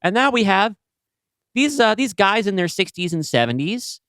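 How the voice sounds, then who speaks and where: A man's voice: high voice, sing-song, normal speed, fairly bright tone, smooth, no room sound, clean studio sound. A man co-hosting a podcast, discussing things casually in an analytical, encouraging way. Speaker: podcast co-host.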